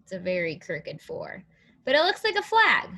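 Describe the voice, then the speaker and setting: A woman's voice: medium pitch, huge pitch swings, normal speed, pushed and bright. A woman preschool teacher reading aloud to young children, asking questions and counting in an encouraging, sing-song way with simple phrasing.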